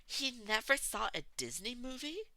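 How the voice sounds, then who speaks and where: Someone putting on a high, fussing motherly villain. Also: sing-song, normal speed, pushed and bright, no echo, heavily acted. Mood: shocked pity. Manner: gasping, fussing. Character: doting, motherly, dramatic villain gang member.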